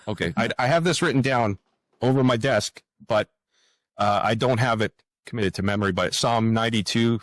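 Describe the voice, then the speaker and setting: An older man with a low voice answers as a guest author in an interview. He speaks earnestly but haltingly, doubling back and repeating words. The sound is dry, with little room on it. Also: dry recording, rough recording.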